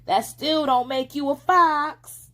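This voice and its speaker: A woman's voice: high voice, takes it slowly, pushed and bright, pitched above the performer's own voice, full character voice. A woman voicing a sassy, fearless little country girl in a storybook, matter-of-fact, speaking folksy rural dialect. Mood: sassy, defiant.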